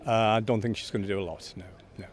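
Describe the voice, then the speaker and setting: A man's voice: lowish voice, fairly even intonation, fast talker, a little hiss or hum. A man giving his opinion casually as an interviewed member of the public.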